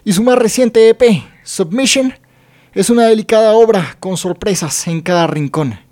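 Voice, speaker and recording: High-pitched man hosting music radio. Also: moderate pace, a little mellow. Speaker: radio music show host.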